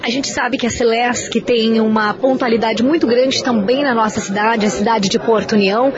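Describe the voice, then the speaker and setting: A woman hosting a radio interview at an event, asking formal questions in a steady way. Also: on the high side, moderate pace, lo-fi sound, a crowd in the background.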